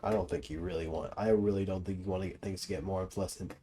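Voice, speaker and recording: A man's voice: deep voice, steady tone, fast, creaky, dark tone, faint music behind. A young man giving casual commentary as he plays a video game, slangy, profane and teasing. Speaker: streamer reacting to a video game.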